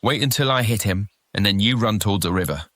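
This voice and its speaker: A man's voice: fairly low pitch, quick pace, fairly bright tone, no echo. A man playing a reluctant young troublemaker with a grudge, wary and grumbling, in a fantasy audio drama. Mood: hesitant resolve.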